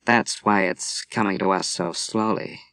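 A man voicing a calm middle-aged naval commander, measured and patient as he explains. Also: deep voice, no echo, clean studio sound. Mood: explaining.

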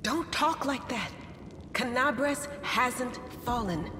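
A woman's voice: on the high side, expressive, takes it slowly. A woman playing an earnest, defiant knight in a fantasy game, speaking with encouragement. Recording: echoey hall with noisy ambience.

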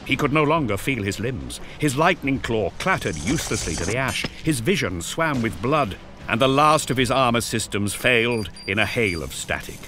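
A man's voice: mid-range voice, big pitch swings, normal speed, noisy background. A man narrating an audio drama in an intense, solemn, theatrical storytelling style. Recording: loud music and battle effects behind. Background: loud music, battle sound effects.

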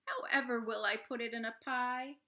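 A woman voicing Mister Bingle, a fussy, flustered man who loves baking, exclaiming loudly in exasperation. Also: a little high, moderate pace. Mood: exasperated.

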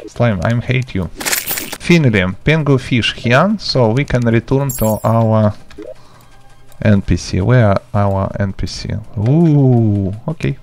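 A man, speaking in halting, simple step-by-step instructions as he hosts an informal video game tutorial. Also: medium pitch, vocal fry, darkish tone, a little hiss or hum. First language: not English. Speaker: gaming tutorial host. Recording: music behind the voice. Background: background music.

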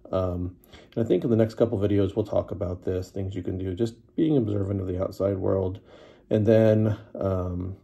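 A man giving a relaxed, casual history lesson to the camera, with many fillers, unhurried. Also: low-pitched, vocal fry, breathy edge. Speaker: teacher.

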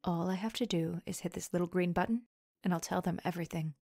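A woman's voice: fairly low pitch, fast, vocal fry, a little mellow, dry recording, studio quality. An actress playing a guarded, bitter woman in an audio drama, speaking in a sarcastic, curt, profane way. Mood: exasperated, hostile. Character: Florence, guarded, bitter adult woman, wary school survivor. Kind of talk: naturalistic audio drama acting.

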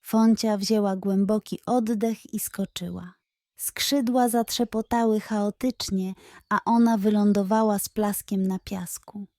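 A woman's voice: mid-range voice, steady tone, measured pace, dark tone, no room sound, studio quality. A woman storyteller giving a scripted narration of a children's bedtime story.

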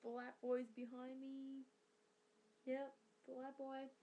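A woman's voice: high voice, completely flat delivery, slow pace, dark tone. Rambling teen girl with slight Welsh accent. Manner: rambling, many ums, swears casually. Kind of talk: casual webcam diary. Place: small bedroom with posters, indoors. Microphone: built-in webcam mic.

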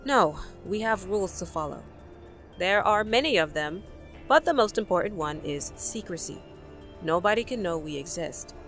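An actress playing a dutiful, instructive assassin in an audio drama. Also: female voice, on the low side, expressive, moderate pace, fairly bright tone, soft background music.

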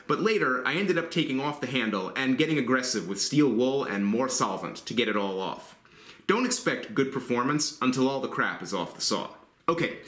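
A man in his forties reviews hand saws straight to camera with blunt, joking enthusiasm and a bright, animated voice. His words ring a little in a roomy workshop, picked up by a camera mic at mid distance. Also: mid-range voice. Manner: enthusiastic, blunt, jokey asides. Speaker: woodworking channel host. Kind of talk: scripted tool review to camera. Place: woodworking shop.

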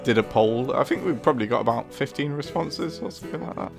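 Creaky-voiced man in casual commentary. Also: medium pitch, animated, fast, over music. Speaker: co-host, writer and puppeteer. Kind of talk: casual behind-the-scenes commentary.

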